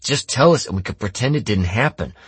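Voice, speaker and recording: Man voicing a confrontational teenage boy, pitch raised. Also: lively intonation, fast talker, husky, no echo. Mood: snarling. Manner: snarling, forceful slangy speech. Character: hot-headed, confrontational teenage boy at boarding school.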